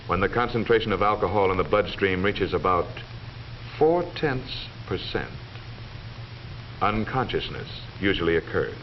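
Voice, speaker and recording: Male narrator, American, deep and authoritative, for vintage instructional film; muffled period recording with faint noise. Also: lively intonation. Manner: formal, measured, authoritative delivery. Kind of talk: 1940s scripted educational film narration.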